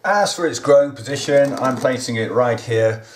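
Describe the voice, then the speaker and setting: A man's voice: mid-range voice, normal speed, a little hiss or hum. A man, hosting a casual gardening how-to, chatting plainly and talking straight to his viewers at home. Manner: chatty, plain-spoken, talks to viewers directly. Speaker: gardening channel host. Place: indoors at home, kitchen counter.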